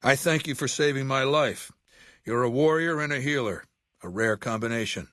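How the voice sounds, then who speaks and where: An imperious middle-aged warlord, acted by a man for a video game, with a mid-pitched voice recorded close and dry. He talks down to his listener deliberately, every phrase carrying command and menace. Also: fairly bright tone, dry recording, natural voice. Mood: stern, commanding. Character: imperious middle-aged male dictator of a slaver legion. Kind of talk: video game character dialogue.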